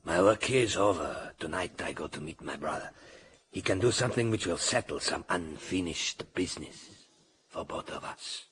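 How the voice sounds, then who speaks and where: Cryptic variety performer, radio mystery; very low, grave and fatalistic, noisy background. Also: male voice, moderate pace, a bit bright. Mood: solemn, ominous. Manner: solemn, cryptic. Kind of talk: radio drama acting.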